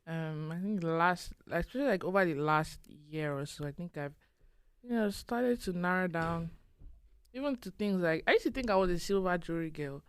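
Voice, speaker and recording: A woman's voice: on the low side, animated, clean tone, dry recording. A young woman co-hosting a podcast, chatting casually, giggling and reacting to her friend. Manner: giggly, unhurried, quick to react. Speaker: podcast co-host. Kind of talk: casual chat.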